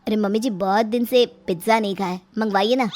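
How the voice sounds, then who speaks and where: A woman's voice: mid-range voice, fairly even intonation, fast, darkish tone, faint music behind, natural voice. A woman voicing lazy, freeloading young sons-in-law in a breezy, wheedling manner for a comic story.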